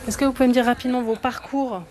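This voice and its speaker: A woman's voice: a little high, steady tone, racing, a little mellow. A woman reporter asking polite, informal questions and narrating a report. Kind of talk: interview questions and voice-over narration.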